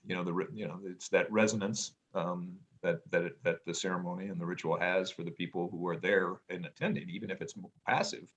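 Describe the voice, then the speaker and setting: Low-voiced middle-aged man, halting musing. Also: fairly even intonation. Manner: hesitant, many ums and restarts, reflective; says he has a loud voice. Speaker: podcast co-host, parent. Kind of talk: casual family conversation.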